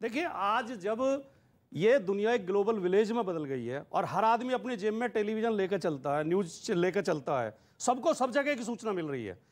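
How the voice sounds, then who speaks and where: Male political spokesman, high voice, argumentative, emphatic, repetitive phrasing, televised debate. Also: animated, normal speed.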